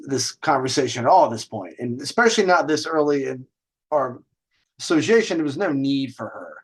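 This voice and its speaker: A middle-aged man hosting a video segment, telling stories in a casual, rambling, informal way with conversational asides and some swearing. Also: mid-range voice. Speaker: host of a dating and lifestyle video segment. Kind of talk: casual, rambling storytelling to camera.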